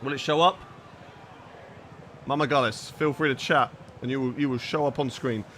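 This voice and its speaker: A man's voice: mid-range voice, steady tone, normal speed, fairly bright tone, slight background noise. Chatty man on a live stream. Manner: chatty, reads and answers chat while playing. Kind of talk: live gaming stream chat.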